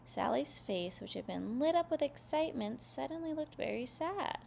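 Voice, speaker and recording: A woman storyteller reads aloud for young children with expressive, careful phrasing. Her voice is full of creak, and there is slight noise behind it. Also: high voice, moderate pace. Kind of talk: children's read-aloud narration.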